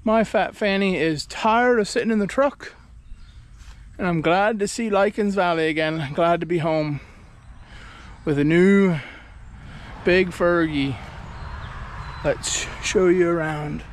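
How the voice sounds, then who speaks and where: A man's voice: high voice, slow. A man, vlogging in an easygoing, chatty way while tired out on his farm. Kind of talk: casual vlog narration. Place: outdoors on a farm and in a pickup truck. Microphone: handheld action camera at arm's length.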